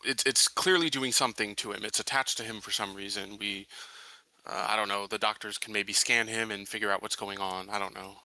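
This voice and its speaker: A man's voice: lowish voice, fairly even intonation, fairly quick, sharp tone, dry recording, rough recording. A man playing a tabletop roleplaying game, casually improvising in character and unsurely repeating his words.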